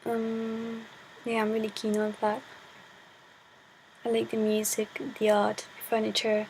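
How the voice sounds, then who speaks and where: A woman's voice: fairly high pitch, monotone, slow pace, darkish tone, a little hiss or hum, rough recording. A shy teenage girl from Chile, a native Spanish speaker, talking to her camera in a hesitant way and searching for words. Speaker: vlogger. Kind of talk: casual talk to camera.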